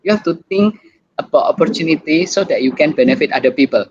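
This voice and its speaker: A man with an Indonesian accent giving an online talk as a doctor, halting and repeating words as he goes. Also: fairly high pitch, lively intonation, a little hiss or hum. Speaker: doctor and guest speaker. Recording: muffled lo-fi video call.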